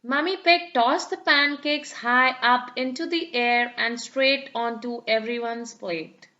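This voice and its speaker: A woman reading a picture book aloud to small children in an animated, deliberate way, on a slightly dull recording. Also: fairly high pitch. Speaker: storybook reader. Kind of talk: expressive read-aloud narration for small children.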